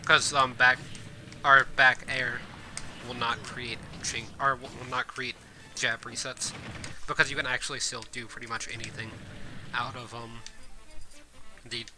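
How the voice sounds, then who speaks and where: A man giving casual gameplay commentary as a streamer, rambling with fillers and self-corrections, his voice a bit hoarse. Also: mid-range voice, level tone, a little slow, long pauses, music in the background. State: hoarse from coughing. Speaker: streamer and game guide host. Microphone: desk mic.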